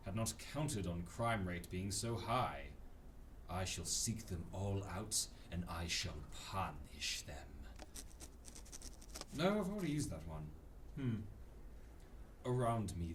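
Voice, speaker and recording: A brooding masked crime fighter from a radio drama, acted by a man, with a low voice that swings dramatically. His lines are clipped, grandiose and fond of wordplay. The mic is a little distant, with faint noise behind. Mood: self-critical. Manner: clipped, melodramatic, pun-loving. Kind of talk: radio drama monologue and dialogue.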